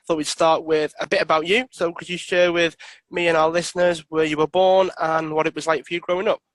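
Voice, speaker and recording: A man's voice: a little high, a bit bright, no room sound, rough recording. A man hosting a podcast, introducing his guest in an upbeat way and asking about her life. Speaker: podcast host.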